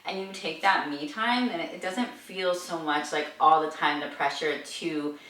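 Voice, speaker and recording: A woman chats casually as a guest, upbeat and rambling with many ums. Her voice is fairly low yet bright and forward. The room echoes and the mic sits well away from her. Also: normal speed, recorded from a distance. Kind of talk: casual interview chat.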